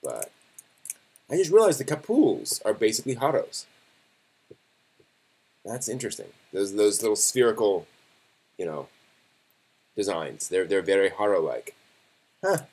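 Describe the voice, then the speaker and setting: A man hosting a live stream, talking casually and conversationally, thinking aloud in a halting way. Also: lowish voice, sing-song, darkish tone, slightly rough.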